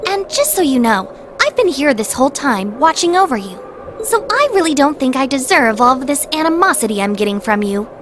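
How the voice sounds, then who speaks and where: A woman voicing a sassy female cat familiar in a video game, glib, expressive and quick to take offense. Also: high-pitched, background noise. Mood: exasperated.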